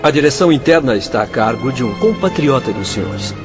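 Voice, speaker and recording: A man playing a calm young doctor. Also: mid-range voice, brisk, natural voice. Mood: explanatory. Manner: calm, explanatory. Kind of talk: radio drama acting. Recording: dull lo-fi audio with music bed.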